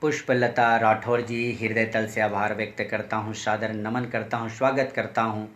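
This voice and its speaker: A man's voice: level tone, a bit bright. A man from Chhattisgarh at an online poetry session, greeting and thanking each listener by name in a formal, respectful manner. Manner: sings high and drawn out, spacing each phrase slowly. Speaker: poet. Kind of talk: sung Hindi poem, then spoken greetings at an online poetry session.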